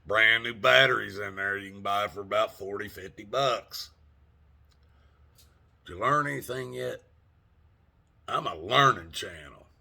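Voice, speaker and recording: A man's voice: lowish voice, unhurried, vocal fry, long pauses, sharp tone. A man in his sixties telling a rambling, folksy story in a casual vlog, laughing often and cursing mildly. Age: 60s. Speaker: vlogger.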